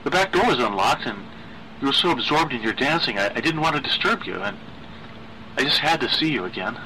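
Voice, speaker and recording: A man reading a horror story as a gentle, obsessively lovesick man, speaking in soft, halting, pleading phrases. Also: mid-range voice, animated, a little hiss or hum, voice pushed up, poor audio. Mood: nervous, pleading. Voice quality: soft, sighing.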